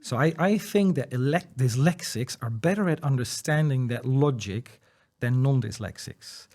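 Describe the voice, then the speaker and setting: A man's voice: medium pitch, animated, normal speed, a little mellow. A man whose first language is Dutch, a guest in an interview, talking earnestly and encouragingly with frequent restarts and repeated words. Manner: laughs lightly between points. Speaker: interview guest, dyslexia educator. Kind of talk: casual interview conversation.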